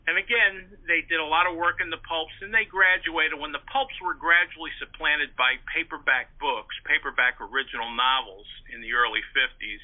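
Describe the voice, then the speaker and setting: A man's voice: on the high side, steady tone, normal speed, hardly any pauses. Phone-line male historian, talkative and explanatory. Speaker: interview guest, pulp fiction historian. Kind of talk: informal interview, explaining publishing history at length. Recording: narrow-band phone-like radio line.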